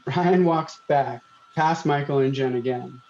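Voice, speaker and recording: A man's voice: medium pitch, unhurried, a little mellow. A man reading scripted stage directions as narration at a table read. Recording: muffled, dull video-stream audio.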